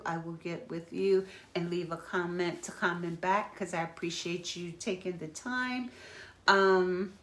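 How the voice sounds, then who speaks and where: A woman therapist hosting a live stream, talking gently and reassuringly, slightly congested from asthma. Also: on the low side. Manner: gentle, reassuring, guides breathing exercises. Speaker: therapist and host of a live talk. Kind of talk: slow, caring live-stream talk. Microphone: phone or webcam live feed.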